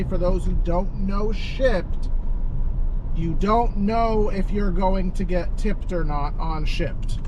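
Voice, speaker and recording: A man, a delivery driver, chatting casually and jokingly to the camera while he drives. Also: high voice. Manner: chatty, upbeat, jokey asides. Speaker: gig delivery driver vlogger. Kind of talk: casual driving vlog chat. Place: inside a car while driving. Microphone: action camera mounted in car. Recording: noisy car interior. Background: car and road noise.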